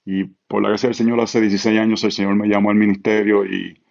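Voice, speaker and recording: A man in his forties with a Puerto Rican accent talks easily as a guest, low-pitched with a gravelly edge. The sound is dull and lo-fi, like a remote call. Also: fast talker. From Puerto Rico. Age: 40s. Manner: relaxed, filler-heavy, self-deprecating. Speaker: pastor and guest. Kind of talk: casual podcast conversation.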